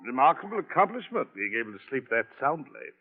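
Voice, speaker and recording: An actor playing a courteous, formal gentleman traveler with measured, polite phrasing in an old radio drama. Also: mid-range voice, very animated. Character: courteous, formal gentleman traveler called Don, quietly anxious. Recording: thin, tinny vintage radio recording.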